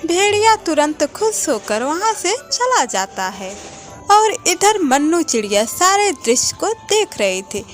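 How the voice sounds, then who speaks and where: A woman's voice: very high voice, very animated, a little slow, soft background music. A woman narrating a scripted children's cartoon story.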